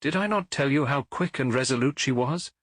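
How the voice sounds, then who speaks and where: A man plays a proud king in a classic storybook tale. His delivery is theatrical and full of exclamation, swinging widely in pitch. The voice has a husky grain and sits close and dry. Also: expressive, fairly quick, no room sound, natural voice. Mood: admiring. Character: proud, emotional adult king of Bohemia. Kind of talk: audiobook character acting.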